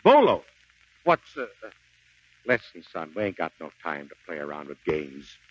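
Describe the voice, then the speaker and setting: An actor playing a gruff, blustering middle-aged American Marine sergeant in a 1940s radio drama, with folksy, emphatic delivery. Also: male voice, low-pitched, sing-song, moderate pace, no room sound, exaggerated voice. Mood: flustered, grudging. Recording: dull 1940s radio broadcast recording.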